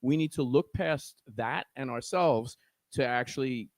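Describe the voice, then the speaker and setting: A man's voice: medium pitch, unhurried, no echo. A man with a New York accent speaking as a guest organizer in an impassioned podcast discussion, earnest and preachy, often restarting his words.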